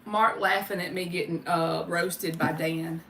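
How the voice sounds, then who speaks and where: Female tarot livestreamer, informal and chatty, sharp bright tone, echoey room with faint noise. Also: fairly low pitch, steady tone, unhurried. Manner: informal, chatty, addresses viewers by name, mild swearing. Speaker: tarot card reader and livestream host. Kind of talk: casual livestream chat with spiritual tarot readings. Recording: roomy, distant mic with faint noise.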